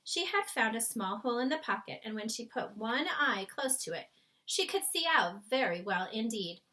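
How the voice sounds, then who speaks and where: Female narrator for a children's book, mid-range and forward, bright voice. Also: mid-range voice. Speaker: storybook reader. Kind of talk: scripted narration, children's read-aloud.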